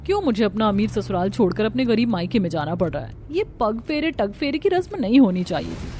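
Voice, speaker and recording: A woman's voice: mid-range voice, very animated, brisk, mellow, soft background music, lightly acted. A woman voicing a spoiled, demanding young newlywed daughter in a cartoon, whiny and entitled. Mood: grumbling.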